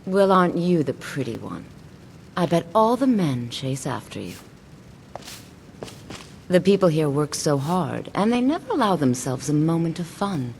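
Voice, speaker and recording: A low-voiced, seductive townswoman acted by a woman in a fantasy game. She drawls with bored disdain and sly menace, her pitch swooping over a creaky fry. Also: big pitch swings, unhurried, darkish tone, a little hiss or hum, heavily acted. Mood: sly, disdainful. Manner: languid, insinuating, disdainful.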